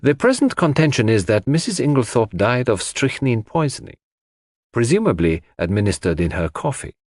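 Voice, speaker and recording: A man's voice: mid-range voice, big pitch swings, moderate pace, darkish tone, dry recording, studio quality, voice pushed up. A man voicing a fussy, shrewd older detective, precise and theatrical in an audiobook. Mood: deliberate, shrewd. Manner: precise, theatrical, formal phrasing. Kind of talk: audiobook character acting.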